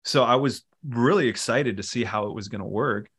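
A middle-aged man talking casually in an interview, relaxed and rambling, with many fillers and restarts. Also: mid-range voice, brisk, slightly rough. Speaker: musician and producer guest.

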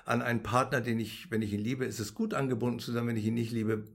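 A man's voice: mid-range voice, steady tone, fast talker, breathy edge. A middle-aged man, a guest in an interview, explaining his views on money, happiness and spirituality, often tagging sentences with "ne?". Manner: explains with frequent "ne?" tags.

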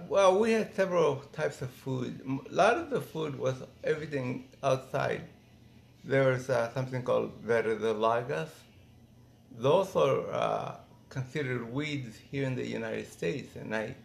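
Middle aged guy from mexico, super gravelly creaky voice, thinks out loud as he reminisces. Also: mid-range voice. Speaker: interviewee, former migrant worker. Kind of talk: reminiscing in a casual family interview.

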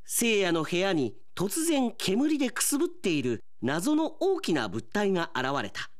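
A man performing as an earnest radio drama narrator, with measured, dramatic scripted delivery. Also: high voice, huge pitch swings, pitched above the performer's own voice, exaggerated voice. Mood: suspenseful.

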